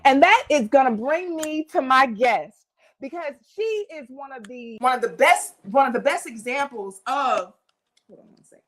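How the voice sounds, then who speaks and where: A woman's voice: sits high, expressive, moderate pace, low-quality recording. A woman hosting a talk show, speaking conversationally and emphatically, repeating phrases to drive her point home.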